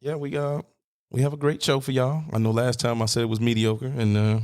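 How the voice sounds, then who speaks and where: A man's voice: mid-range voice, fairly even intonation, brisk, darkish tone, smooth. A man in his thirties hosting a casual podcast, laid-back, laughing often and swearing freely. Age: 30s. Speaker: podcast host.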